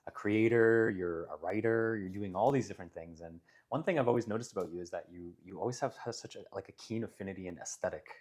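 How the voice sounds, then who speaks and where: Male interviewer, slightly low pitch, casual, friendly and meandering. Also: fast, few pauses, darkish tone. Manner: friendly, rambling questions with fillers. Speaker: podcast host.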